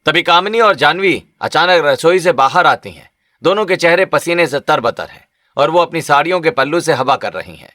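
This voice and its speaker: Bright-voiced man narrating a story. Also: a little high, animated, normal speed, no room sound.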